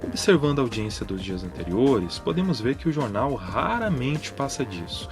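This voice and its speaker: A man's voice: mid-range voice, very animated, normal speed. A Brazilian man narrating news commentary in an informal, explanatory way. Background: background music.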